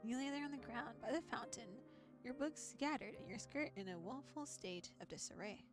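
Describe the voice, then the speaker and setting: A woman voicing an arch, formal female narrator, reading visual novel text in a deliberate, dramatic way. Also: a little high, music in the background, natural voice. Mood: hushed, ominous. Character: arch, ornate female narrator of a dark dream tale.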